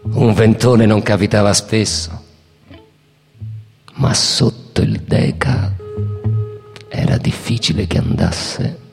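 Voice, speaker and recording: A man's voice: fairly low pitch, takes it slowly, gravelly, long pauses, a little mellow. A man delivering theatrical scripted narration as a storyteller. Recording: reverberant hall. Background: music.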